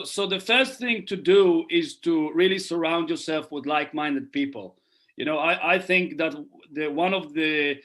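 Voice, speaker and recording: Earnest man, higher voice. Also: a bit bright. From Israel. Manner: earnest, repeats phrases while thinking. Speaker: guest. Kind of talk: casual podcast conversation.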